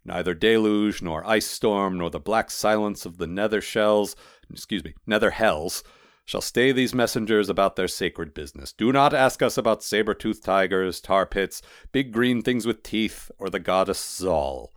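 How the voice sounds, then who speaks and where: A man voicing an ancient male clay golem messenger, weary and fatalistic, speaking in a solemn, formal, rumbling way. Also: no room sound, studio quality, natural voice. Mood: grave, reciting. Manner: blank, solemn, formal, unhurried.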